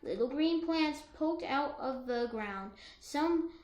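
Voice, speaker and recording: A woman reads a story to children in a high, bright voice. Her delivery is expressive and swings up and down, and the room around her echoes. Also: takes it slowly. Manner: expressive, dramatized reading. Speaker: teacher co-reading aloud to a class. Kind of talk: read-aloud storytelling for children.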